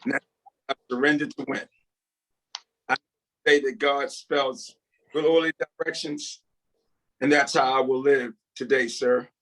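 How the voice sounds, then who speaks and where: A man reading the part of a repentant, humble prison inmate, earnest and deferential, in a halting script table read. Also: mid-range voice, level tone, fairly bright tone, close to an everyday voice, poor audio. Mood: humble, resolute. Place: video call.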